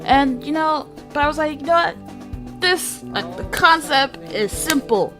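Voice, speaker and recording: A woman's voice: high voice, expressive, a little slow. A woman hosting a gaming series with casual, sweary commentary, switching between mock-dramatic announcing and offhand asides. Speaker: host of a gaming channel series. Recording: music behind the voice. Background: music.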